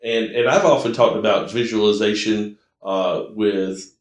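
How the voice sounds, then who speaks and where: Lowish-voiced American man, casual interviewer. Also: steady tone, measured pace. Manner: frequent affirmations, restates what guest says. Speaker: podcast host interviewing a guest. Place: indoor room, in-person conversation. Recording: roomy, echoey indoor recording.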